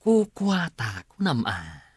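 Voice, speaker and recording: Swooping cunning Ilocano accomplice. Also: male voice, on the high side, wildly swooping pitch, takes it slowly, breathy edge, no room sound, studio quality, exaggerated voice. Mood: scheming. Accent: Filipino, Ilocano. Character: cunning male accomplice plotting a swindle. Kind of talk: TV drama acting.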